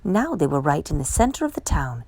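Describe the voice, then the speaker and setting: A woman reading a children's picture book aloud as a storyteller, with expressive, measured delivery. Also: sits low, slightly rough, no room sound. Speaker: storytime reader.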